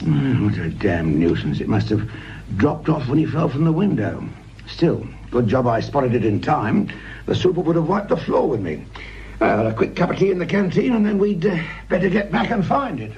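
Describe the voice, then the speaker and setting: An actor playing a grumbling, sardonic, corner-cutting British police sergeant in a radio drama, full of world-weary asides. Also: male voice, a little high, sing-song, moderate pace, darkish tone, dull audio, slight background noise. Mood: grumbling, irritated.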